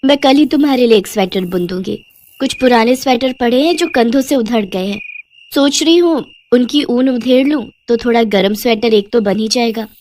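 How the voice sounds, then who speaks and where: A woman's voice: on the high side, normal speed, darkish tone, a little hiss or hum, lightly acted. A woman playing a caring, thrifty wife and mother in a cartoon moral story.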